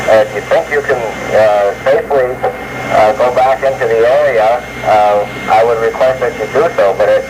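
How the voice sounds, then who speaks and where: A man speaking as coast guard captain of the port over VHF radio, in a formal, measured but halting way. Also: medium pitch. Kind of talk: VHF radio dispatch traffic. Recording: noisy VHF radio, old reel-to-reel tape. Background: loud radio and tape noise.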